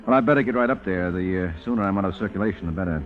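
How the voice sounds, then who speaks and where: A cool fugitive hero, played by a male radio actor, casual and self-assured in a fairly low voice. It is a dull old radio drama recording with music clearly behind him. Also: racing, natural voice. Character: cool, genial male adventurer on the run. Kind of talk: 1940s-1950s radio crime acting.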